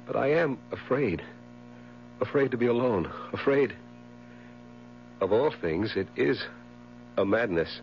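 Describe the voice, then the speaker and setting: Haunted widower for vintage radio play: overwrought, halting, on the edge of madness, dull hummy sound. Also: male voice, mid-range voice, lively intonation. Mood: weary fear. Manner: overwrought, halting, brooding, theatrical. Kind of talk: 1970s radio drama acting. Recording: muffled, noisy 1970s radio broadcast with hum.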